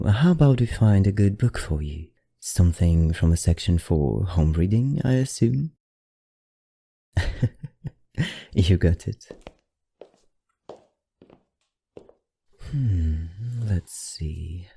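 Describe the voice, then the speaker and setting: Man as a low, gravelly, genteel vampire librarian. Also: exaggerated voice. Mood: inviting, playful. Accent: affected, non-native accent. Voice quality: low, dark, gravelly murmur. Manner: courtly, unhurried, softly teasing. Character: suave, genteel male vampire librarian, softly predatory. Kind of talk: intimate ASMR roleplay.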